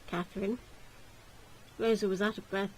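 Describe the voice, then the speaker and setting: Casting: female narrator, mid-pitched, dramatic storybook reading of gothic fiction, faint noise in the recording. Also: little pitch movement, normal speed, a little mellow, poor audio. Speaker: narrator, game player reading aloud.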